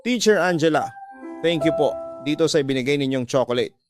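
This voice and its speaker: A polite little schoolboy in a radio drama, put on by a man. The delivery is cheerful and respectful. Music is clearly heard underneath. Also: fairly high pitch, lively intonation, a little fast, lightly acted. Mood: happy. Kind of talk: Filipino radio drama acting.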